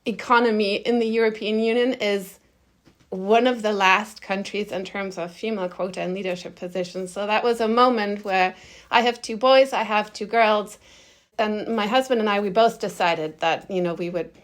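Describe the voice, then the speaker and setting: A woman's voice: medium pitch, fairly even intonation, moderate pace. Nasal woman with a cold. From Germany. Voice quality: slightly nasal. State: has a cold. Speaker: interview guest, agency founder. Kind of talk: conversational interview answers.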